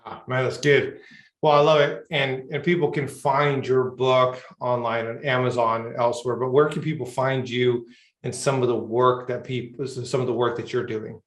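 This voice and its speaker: A man's voice: medium pitch, steady tone, normal speed. Upbeat male host, echoey room. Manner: upbeat, enthusiastic wrap-up questions. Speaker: podcast host.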